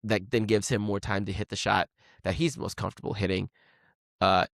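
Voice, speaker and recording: A man's voice: lowish voice, fairly even intonation, fairly quick, creaky, dry recording, clean studio sound. A man co-hosting a sports podcast, talking through the game in a casual, conversational way. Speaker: podcast co-host discussing tennis.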